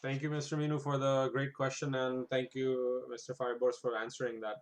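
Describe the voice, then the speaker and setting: Moderator, courteous and formal, mid-range voice, online session. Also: male voice, mid-range voice, little pitch movement, brisk.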